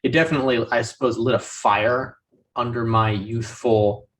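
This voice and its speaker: A man in his thirties, a veteran guest, speaking casually and haltingly with many ums and restarts. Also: medium pitch, fairly even intonation, a bit bright. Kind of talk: casual podcast conversation. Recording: voice distant from the mic.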